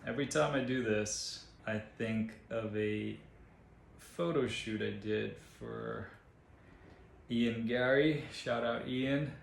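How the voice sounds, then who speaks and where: A man's voice: medium pitch, takes it slowly, creaky, slight background noise. A man whose first language is Portuguese, giving a casual vlog update about his art, hesitant and self-deprecating with frequent fillers. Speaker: artist giving a channel update.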